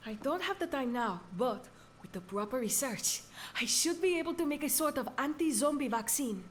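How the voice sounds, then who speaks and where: A young woman scientist working through a zombie outbreak in a video game. Her voice sits on the high side, earnest and businesslike, with clear noise behind it. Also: lively intonation, normal speed. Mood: hopeful.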